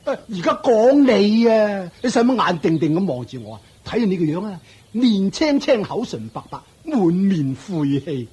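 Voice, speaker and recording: An actor playing a mocking, contemptuous shopkeeper uncle in a Hong Kong Cantonese radio drama, drawling out sneering taunts. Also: male voice, sits high, very animated, normal speed, darkish tone, husky, heavily acted. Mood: scornful. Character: mocking, contemptuous shopkeeper uncle scolding his poor nephew.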